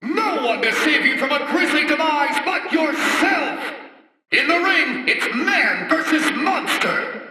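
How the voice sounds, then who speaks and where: An actor playing a bombastic, bloodthirsty monster-arena announcer in a video game, shouting showily in a big echoing space. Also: male voice, extremely high pitch, animated, exaggerated voice. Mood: bombastic, gleeful. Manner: shouting, slow and showy.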